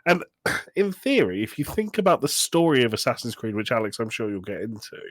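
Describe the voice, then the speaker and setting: A man's voice: mid-range voice, big pitch swings, fairly quick, no echo. Dry-sounding man on a podcast. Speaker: podcast co-host. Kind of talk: casual opinionated discussion.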